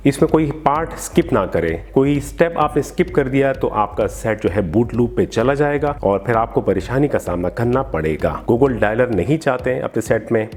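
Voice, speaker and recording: A man's voice: mid-range voice, animated, moderate pace, hardly any pauses, darkish tone. A man hosting an instructional phone tutorial, explaining steps to viewers in a polite, formal way. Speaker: tech tutorial host. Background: background music.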